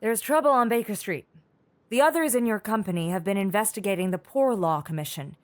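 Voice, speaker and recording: A woman's voice: medium pitch, a little fast, no echo, lightly acted. A woman playing a shrewd, scolding adventuress in an audio drama, sardonic, knowing and commanding. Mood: serious.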